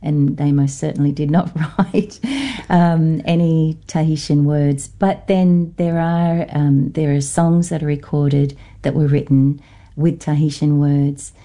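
A woman from Norfolk Island with an Australian-influenced accent, a guest academic in a radio interview, speaking thoughtfully with many pauses and fillers. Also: sits low, flat delivery, warm tone.

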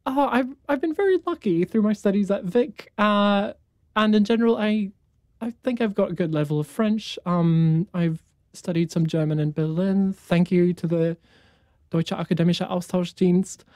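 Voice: medium pitch, a little mellow, dry recording, studio quality. A young music student from New Zealand whose first language is Afrikaans, answering interview questions with enthusiasm and plenty of fillers. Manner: enthusiastic, halting, many fillers. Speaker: conducting student, guest.

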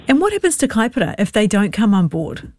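A New Zealand radio host interviewing, reading listener feedback and giving traffic updates in a brisk, conversational way. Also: medium pitch, sing-song, a little mellow. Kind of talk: radio interview and news presenting.

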